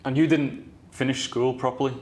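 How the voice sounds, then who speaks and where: A man's voice: mid-range voice, fairly even intonation, moderate pace, darkish tone. A man hosting a podcast, talking in an eager, chatty way full of slang. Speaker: podcast host. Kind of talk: casual chat.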